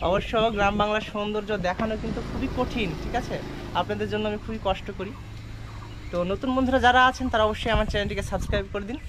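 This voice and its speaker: A man with a chatty, easygoing manner walks through a village, talking to his viewers. He stops now and then to ask locals questions. The outdoor recording carries clear background noise. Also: sits high, steady tone, rapid-fire. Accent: Bengali. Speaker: travel vlogger. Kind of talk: casual walking vlog narration.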